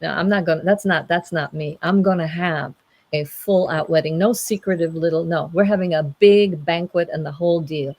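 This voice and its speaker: A woman storyteller voicing a proud, self-possessed hag bride, deliberate and insistent, in an informal retelling. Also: low-pitched, a little mellow. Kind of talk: informal comic oral storytelling.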